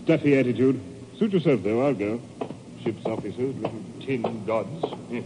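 An actor playing a haughty, easily offended ship's passenger with a pompous 1950s radio drama delivery. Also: male voice, medium pitch, moderate pace, lightly acted. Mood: huffy. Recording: very noisy old radio recording.

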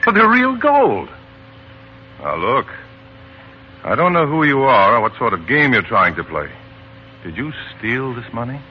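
A man's voice: medium pitch, big pitch swings, a little slow, long pauses, husky. An actor playing an earnest, insistent, plain-spoken man in a 1940s radio play, with an emphatic theatrical delivery. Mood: puzzled, frank. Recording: dull lo-fi 1940s radio, faint music.

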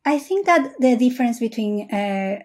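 Higher-voiced woman from Peru, measured. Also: expressive, a little mellow. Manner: measured and thoughtful, with frequent "uh" pauses. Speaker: software engineer guest. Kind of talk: casual interview answers.

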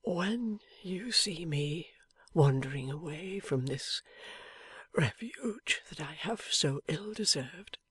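A woman voicing a badly wounded young husband who speaks in a faint, halting, affectionate whisper in an audiobook. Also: low-pitched, expressive, a bit bright, slightly rough, no room sound, heavily acted. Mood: faint, tender. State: weak from injury. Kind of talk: audiobook character voice.

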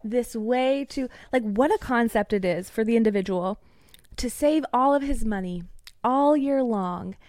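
A fairly high-voiced young woman from East Tennessee talks as a podcast guest, swearing freely through heated rants. The sound is close and dry, with no room around it. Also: unhurried, a little mellow, no echo. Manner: heated, profanity-laced rants. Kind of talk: casual podcast conversation.

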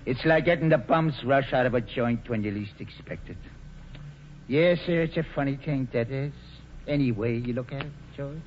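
A man's voice: medium pitch, moderate pace, natural voice. An actor playing a callous, cocky tough guy with an American accent in a 1940s radio drama. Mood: offhand, musing. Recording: muffled, noisy old radio broadcast.

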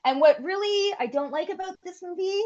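A woman's voice: very high voice, brisk, highs cut off, a loud crowd. A woman in her forties, co-hosting a podcast and chatting casually, rambling and swearing freely. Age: 40s. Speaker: podcast co-host. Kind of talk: casual chat.

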